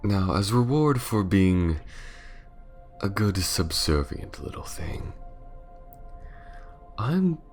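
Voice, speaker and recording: A man playing a menacing, aristocratic vampire lord in an ASMR roleplay, slow and theatrical, often sinking into a sinister whisper. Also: sits low, big pitch swings, darkish tone, faint music behind, voice pushed up, full character voice. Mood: mocking, predatory.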